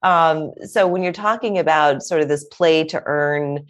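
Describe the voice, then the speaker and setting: A woman's voice: low-pitched, unhurried. A woman interviewing a guest, asking curious questions as a layperson.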